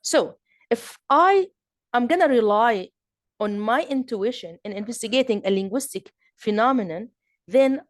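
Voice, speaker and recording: A woman's voice: mid-range voice, dry recording, clean studio sound. A woman from southern Jordan with a Jordanian Arabic accent, giving an academic lecture in a hesitant way, with many fillers and restarts. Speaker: linguistics lecturer.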